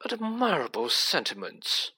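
A man voicing a cold, sneering aristocratic villain in a storybook, smooth and condescending. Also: fairly high pitch, huge pitch swings, measured pace, bright, forceful tone, voice pushed up, exaggerated voice. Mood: mocking.

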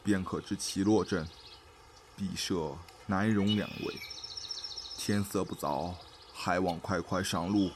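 A man's voice: sits low, steady tone, takes it slowly. A man playing a stern, curt father in a Chinese costume radio drama, speaking formally and coldly. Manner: formal, archaic, unwelcoming. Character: stern, curt, wary father living in the wilds. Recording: noisy track with outdoor nature ambience.

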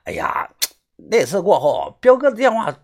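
Guy putting on a high loud freaked-out crook, northeastern accent, stutters and cusses, husky. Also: huge pitch swings, moderate pace, heavily acted. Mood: stammering, wheedling. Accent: Northeastern Chinese. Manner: stammering, pleading, shouting curses. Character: panicked middle-aged male fugitive, foul-mouthed.

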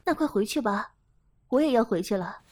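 A woman's voice: on the high side, lively intonation, normal speed, darkish tone. Woman acting a chatty young wife in chinese period drama, agreeable, lilting up and down.

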